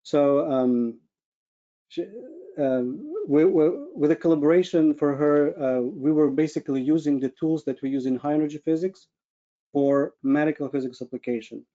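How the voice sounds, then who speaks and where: Higher-voiced Ukrainian man, muffled call audio. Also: fairly even intonation, moderate pace, mellow. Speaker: webinar speaker, medical physicist. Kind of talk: informal personal career presentation. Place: online video call with screen share.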